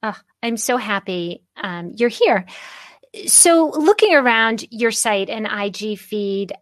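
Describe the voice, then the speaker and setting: A woman's voice: a little high, expressive, slow pace, dry recording. A woman in her fifties hosting an interview podcast, chatty and enthusiastic, laughing often. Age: 50s. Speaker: podcast host. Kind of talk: casual interview chat.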